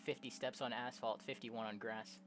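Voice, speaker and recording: A young woman casually commenting on a game and reading its text aloud in a slangy, expressive way. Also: very deep voice, normal speed, noisy background. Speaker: streamer playing a game. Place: own room at home.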